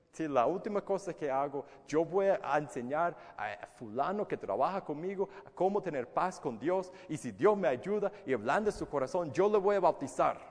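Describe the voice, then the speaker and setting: A male preacher whose first language is English gives an earnest, emphatic sermon with a somewhat high voice. He often repeats words while he searches for the next one. His voice carries a long reverb, as if from a large hall. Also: a little mellow. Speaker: pastor.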